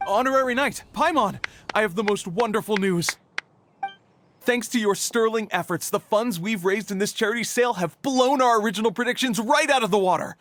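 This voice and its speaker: A man's voice: high voice, a little fast, fairly bright tone, a little hiss or hum. A man playing an earnest, grateful event organizer in a video game, polite and effusive. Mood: excited.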